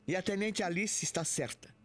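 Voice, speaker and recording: An actress playing a stern, commanding senior air force officer in a Brazilian audio drama, authoritative and reproving. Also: female voice, fairly low pitch, normal speed, close to an everyday voice. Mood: stern, displeased.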